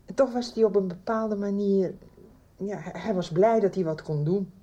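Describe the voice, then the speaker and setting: A woman's voice: medium pitch, moderate pace, dark tone, highs cut off, natural voice. An actress playing a worried woman confiding in a friend in a radio drama. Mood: concerned, explaining. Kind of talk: 1990s radio drama acting.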